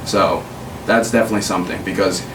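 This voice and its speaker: A man's voice: deep voice, steady tone, fast, a bit bright. A young man hosting a podcast, giving casual, rambling advice with lots of fillers. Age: young adult. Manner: laid-back, rambling advice with many fillers. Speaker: podcast host. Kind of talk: casual chat. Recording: roomy sound from a distant mic with faint hiss.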